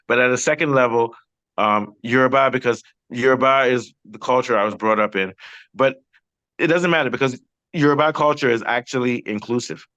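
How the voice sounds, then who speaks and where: Animated man hosting a debate. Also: mid-range voice, fairly quick, dry recording, muffled recording. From Nigeria. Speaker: host. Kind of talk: political discussion.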